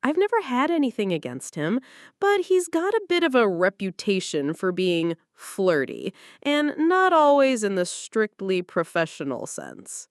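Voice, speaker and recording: A female narrator reads a personal story dramatically, with very animated intonation. Her delivery is conversational and confiding, as though sharing something privately. The recording is close and dry, with hardly any room sound. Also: mid-range voice, unhurried, no echo.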